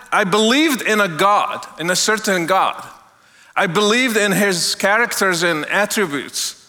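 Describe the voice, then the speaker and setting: A high-voiced middle-aged man delivers an earnest sermon. His tone is bright and forward, and he lingers on key words for emphasis. A long reverb trails his voice across a large hall. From Morocco. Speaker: guest speaker. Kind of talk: testimony sermon.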